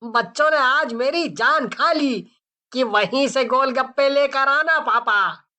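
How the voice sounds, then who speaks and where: A woman voicing a cheerful male customer who is a father, speaking slowly in a joking way. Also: high-pitched, bright, forceful tone, heavily acted. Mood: jolly.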